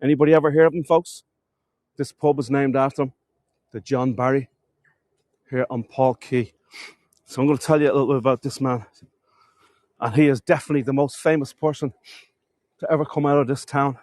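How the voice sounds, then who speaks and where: A man's voice: mid-range voice, fairly even intonation, brisk, long pauses, a little mellow, no room sound. Sniffly man giving a chatty live tour. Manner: chatty, greets viewers by name, addresses them as folks. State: has a cold, sniffly. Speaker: live-streaming walking tour guide. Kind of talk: casual live-stream tour narration. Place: outdoors in a town.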